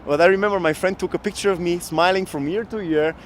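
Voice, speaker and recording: A man in his thirties from Bulgaria telling his work story in a casual outdoor interview, animated and laughing often, into a handheld mic. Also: sits high, fast talker, slight background noise. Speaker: guest entrepreneur. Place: outdoor city square in winter. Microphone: handheld mic shared, camera close.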